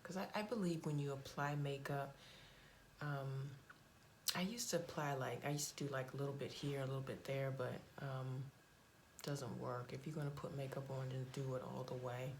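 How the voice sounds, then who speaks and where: A woman chatting casually through a makeup tutorial at home, blunt and chatty, her voice hoarse and cracking. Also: rumbling low, fairly even intonation, normal speed, darkish tone, slight background noise. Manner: chatty, blunt, frequent fillers and restarts. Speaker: beauty vlogger.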